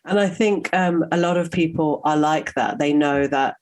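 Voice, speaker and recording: A woman's voice: low-pitched, moderate pace, a little mellow. A woman hosting a podcast interview, talking in a thoughtful, searching way with frequent fillers. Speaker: podcast host. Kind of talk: conversational interview.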